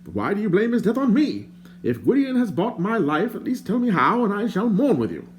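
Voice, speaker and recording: A man's voice: sits high, wildly swooping pitch, a little fast, slight background noise, voice pushed up. A man voicing a wordy, theatrical male bard in an audiobook, courtly and self-mocking. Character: chatty, flowery wandering male bard.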